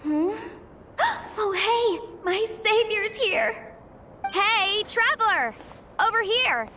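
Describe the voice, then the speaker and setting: A woman voicing a cheerful, easily flustered young horned scholar in a video game, chatty and expressive. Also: very high-pitched, extremely slow, slight background noise, exaggerated voice. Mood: relieved, urgent. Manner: chatty, expressive, hesitant when put on the spot. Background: background music.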